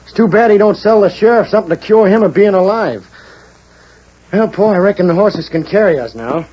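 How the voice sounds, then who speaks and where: A young frontier man talks in a wry, folksy way with lively swings of pitch. The voice is fairly high, on a muffled 1940s Western radio recording. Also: fairly quick, husky. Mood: wry, chatty.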